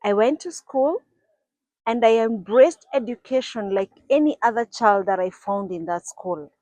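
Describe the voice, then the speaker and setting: A woman's voice: a little high, measured pace, mellow, no echo. A Kenyan woman in her forties, earnestly telling her own life story to her channel's viewers. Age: 40s. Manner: earnest, reflective, with occasional restarts. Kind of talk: personal storytelling to camera.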